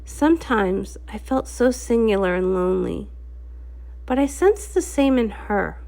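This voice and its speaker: A woman reading a comic aloud as expressive first-person storybook narration. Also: mid-range voice, measured pace, gravelly. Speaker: storybook reader. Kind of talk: expressive scripted narration, comic read-along.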